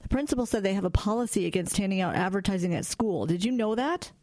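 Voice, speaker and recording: A woman's voice: mid-range voice, fast talker, darkish tone, natural voice. An actress playing an exasperated mother scolding her two sons in an audio drama.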